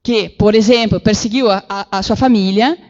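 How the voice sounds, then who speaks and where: A woman giving an animated public lecture with slides in a council chamber, acting out the voices she quotes. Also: medium pitch, moderate pace, dull audio. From Brazil. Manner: animated, acts out quoted voices, many fillers. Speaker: guest lecturer.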